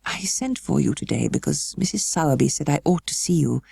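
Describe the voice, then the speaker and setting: A woman voicing a weary, melancholy gentleman guardian for a storybook, kindly but stiff, with slow, halting, well-spoken delivery. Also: deep voice, animated, gravelly, husky, dry recording, clean studio sound, exaggerated voice.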